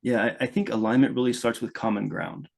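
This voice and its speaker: A man's voice: mid-range voice, fairly even intonation, brisk, creaky, darkish tone. A man from Louisiana, a guest product manager, telling his story thoughtfully, with frequent restarts. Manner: thoughtful, restarts often, speeds up when engaged. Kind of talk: conversational podcast interview.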